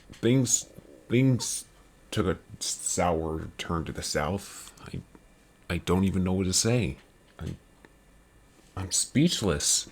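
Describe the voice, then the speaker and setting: Man acting a slow gravelly shaken news anchor. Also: deep voice, exaggerated voice. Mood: stunned, grieving. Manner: halting, stammering. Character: shaken, grieving male local news anchor. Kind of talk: acted live breaking news report.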